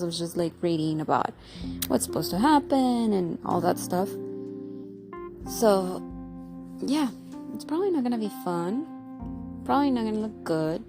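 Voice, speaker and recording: A woman's voice: medium pitch, mellow. A young woman vlogging in a casual, rambling way, full of fillers and restarts, her voice sounding congested and nasal. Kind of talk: casual talk to camera.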